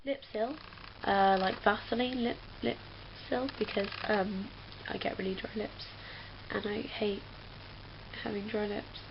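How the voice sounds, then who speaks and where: A woman's voice: medium pitch, steady tone, measured pace, creaky. A young woman from England chatting casually as a vlogger, rambling with lots of fillers. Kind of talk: casual chat. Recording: dull lo-fi webcam audio with faint hiss.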